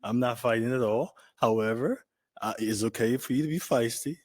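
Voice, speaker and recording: A man, answering questions politely and deliberately as a dating show contestant. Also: medium pitch, a little mellow, no echo, studio quality. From Liberia. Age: 30s. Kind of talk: measured formal answers.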